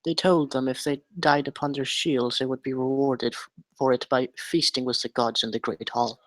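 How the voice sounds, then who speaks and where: A man acting an educated, melancholy doctor in a script table read, speaking in a formal, measured, articulate way. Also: mid-range voice, flat delivery, a bit bright, no room sound, natural voice. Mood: calm, lecturing. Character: educated melancholy male doctor, dreamy captive scientist.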